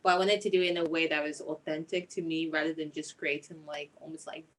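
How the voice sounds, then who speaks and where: A woman's voice: sits low, little pitch movement, fast, fairly bright tone, low-quality recording. A woman with a Nigerian accent, a singer-songwriter telling her personal story in an interview.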